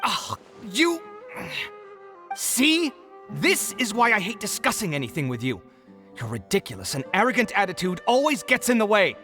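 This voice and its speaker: A man's voice: sits high, huge pitch swings, normal speed, pushed and bright, faint music behind, full character voice. An actor playing a proud, easily riled young male architect in a video game, theatrical and exasperated.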